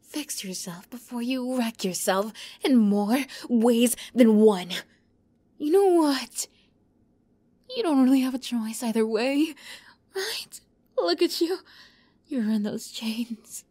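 A woman playing an obsessive young yandere captor in an ASMR roleplay, close to the mic, moving between soft whispers and giddy, high outbursts. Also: animated, slow, long pauses. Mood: manic, coaxing.

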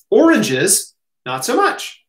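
An American man gives a casual Q&A talk in a fairly high voice. He is conversational and reassuring, punctuating his points with short check-in questions. The sound is clearly roomy, as if recorded at a desk in a home office. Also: wildly swooping pitch, very slow, a bit bright, a little hiss or hum. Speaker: health educator, host. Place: small home office, indoors.

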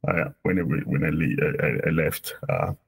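A man's voice: rumbling low, very animated, unhurried, creaky, breathy edge. A man in his forties from Cameroon, a guest on a video call interview, speaking with many hesitations and restarts. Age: 40s. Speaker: guest, operational research professor. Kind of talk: casual interview chat. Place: small office indoors. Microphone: video call webcam.

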